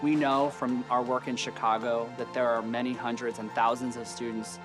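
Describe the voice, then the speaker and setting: A man's voice: medium pitch, flat delivery, moderate pace. A man proudly stating his organization's growth in a promo video. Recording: voice over background music.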